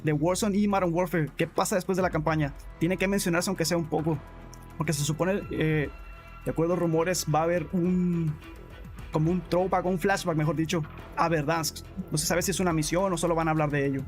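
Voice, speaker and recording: A man's voice: fairly high pitch, steady tone, a little fast, soft background music. A man streaming live, answering chat in a casual way, calling viewers bro and often restarting his sentences. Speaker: gaming streamer.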